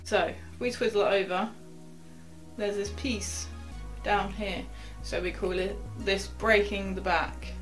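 A young woman, a sailing instructor, walking through knot-tying step by step in a chatty way, with quiet asides as she works the rope, recorded close to a camera in a small wooden cabin. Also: mid-range voice, steady tone, measured pace, faint music behind, poor audio.

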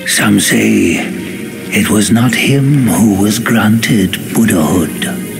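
A grave storyteller narrating a legend in a video game. The voice is low and gravelly, measured and portentous, with music behind. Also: male voice, full character voice. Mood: mysterious. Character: grave, mysterious male storyteller of old legends. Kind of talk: dramatic scripted game narration.

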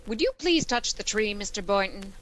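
An actress playing a wry schoolteacher in an old-time radio comedy. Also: female voice, mid-range voice, lively intonation, normal speed, slight background noise, lightly acted. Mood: bewildered. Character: wry, dreamy woman schoolteacher. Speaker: lead actress.

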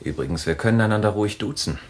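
An actor playing a gentle, hesitant family friend in a German thriller radio play, husky and breathy. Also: male voice, fairly low pitch, very fast, lightly acted. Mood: hesitant, mild.

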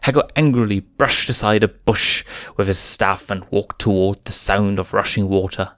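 A man narrating a fan story in a steady, measured reading voice. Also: on the low side, no echo, studio quality. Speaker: fanfiction reader.

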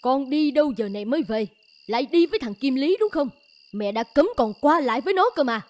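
A woman's voice: sits high, expressive, brisk, a little mellow. A woman voicing a stern, worried middle-aged village mother in a cartoon, scolding and lamenting. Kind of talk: cartoon voice acting.

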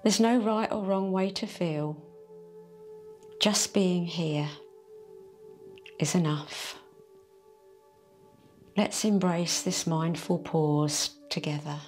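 Calm lady talking thru a meditation, real slow + soothing, faint music behind. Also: lowish voice. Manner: slow, soft and soothing, drawn-out phrases. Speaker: meditation teacher. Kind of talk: guided meditation.

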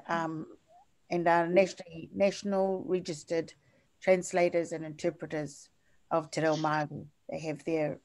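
Older kiwi woman, lower voice, lecturing over slides on a video call, lots of ums and restarts. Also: a little mellow. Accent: New Zealand. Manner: halting, many fillers and restarts. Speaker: professor giving a lecture.

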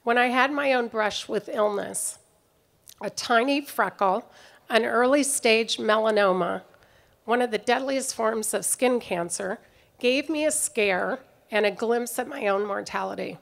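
A middle-aged woman giving a prepared commencement speech at an outdoor podium microphone, in a measured, reflective way. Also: on the high side, gravelly. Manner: measured, reflective delivery reading from notes. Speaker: commencement speaker. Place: outdoor ceremony stage.

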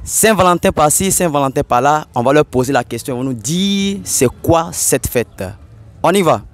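A man's voice: fairly high pitch, expressive, normal speed. A young man with a Togolese French accent presenting street interviews to camera on a handheld mic outdoors. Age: young adult. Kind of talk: vox pop presenting to camera. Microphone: small handheld mic held at mouth.

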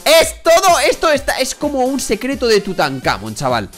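A man with an accent from Spain hosting a video game session, chatting in an excited, bantering way. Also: very high-pitched, normal speed, faint music behind. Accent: Spanish from Spain. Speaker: gaming video host. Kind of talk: excited Minecraft gameplay chat.

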